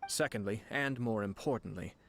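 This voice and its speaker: An actor playing a composed, courteous noble clan lord in a video game, speaking formally and deliberately. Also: male voice, lowish voice, creaky, a bit bright, a little hiss or hum. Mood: thoughtful.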